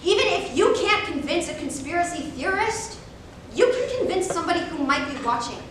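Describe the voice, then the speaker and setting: A woman's voice: extremely high pitch, very animated, a little fast. A woman giving a rehearsed reader's theater performance, speaking in a theatrical, scripted way. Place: large echoey room, distant microphone. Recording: echoey hall from far off, hissy.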